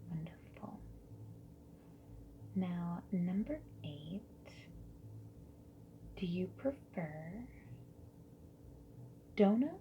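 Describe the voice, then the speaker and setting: A woman doing a soft-spoken ASMR roleplay as a survey interviewer, gently and politely asking questions in a breathy voice that often drops into whispers. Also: on the low side, lively intonation, drawn-out words, long pauses. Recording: lo-fi muffled audio with noise. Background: mechanical keyboard typing.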